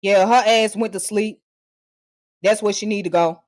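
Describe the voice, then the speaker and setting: Woman streamer, mid-range pitch, heated mocking tirade, dry close recording. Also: fairly even intonation, normal speed, darkish tone, dry recording. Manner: heated, profane and mocking, with scornful laughs; confrontational, addressing viewers directly. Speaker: online streamer. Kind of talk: ranting live commentary.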